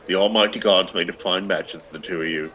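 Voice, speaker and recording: An actor playing a shabby, sharp-tongued old Irish beggar in a radio play, with a mocking, flowery, theatrical manner. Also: male voice, sits low, steady tone, fairly quick. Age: older. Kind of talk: radio play acting of rural Irish dialect.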